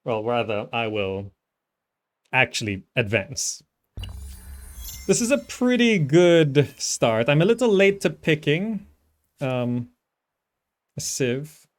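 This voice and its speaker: A man's voice: medium pitch, big pitch swings, slow, long pauses. A man giving casual live commentary as he streams a strategy game, thinking aloud as he plays. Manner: thinking aloud while playing.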